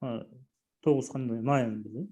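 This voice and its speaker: A man's voice: medium pitch, dark tone. A man in his thirties with a Kyrgyz accent, a beginner student of English who speaks hesitantly. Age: 30s. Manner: hesitant, halting.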